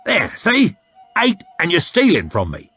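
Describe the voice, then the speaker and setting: A man voicing a coarse, bullying soldier who haggles loudly over a price. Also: huge pitch swings, a little slow, slightly rough, no room sound, faint music behind, exaggerated voice. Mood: wheedling. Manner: loud, high and bullying. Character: coarse loud male slave-driving soldier, crude and haggling.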